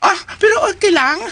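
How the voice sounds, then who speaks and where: A woman's voice: very high voice, heavily acted. A woman playing a cackling, taunting comic busybody in a Filipino radio drama, drawing out her teasing. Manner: cackling, shouting, drawn-out teasing.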